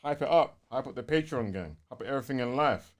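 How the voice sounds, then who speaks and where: A man with a London accent co-hosting a gaming podcast in casual, slangy, animated chat. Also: mid-range voice, fast talker. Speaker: podcast co-host.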